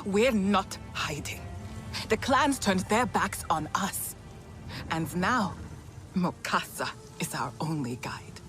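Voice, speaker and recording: An actress playing an earnest young clanswoman who quietly defies her leaders. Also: fairly low pitch, expressive, slow, soft background music. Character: earnest young female clan member, quietly rebellious.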